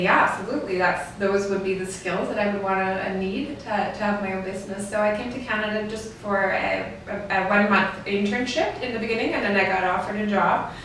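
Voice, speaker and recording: A woman's voice: mid-range voice, fairly bright tone. Woman in a very echoey room. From Denmark. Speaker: guest, fashion designer. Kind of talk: casual interview answers. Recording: echoey room, distant mic, faint noise.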